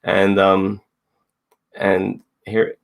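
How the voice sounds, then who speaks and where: A man's voice: sits low, completely flat delivery, drawn-out words, vocal fry. A man co-hosting a casual wrestling review, rambling, repeating himself and swearing freely. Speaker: co-host.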